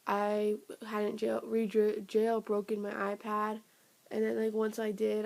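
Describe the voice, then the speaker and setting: A young woman reviewing a phone software update in a rambling, casual way, her voice raspy and sniffly from a cold. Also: mid-range voice. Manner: rambling, filler-heavy, trailing off. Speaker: tech vlogger.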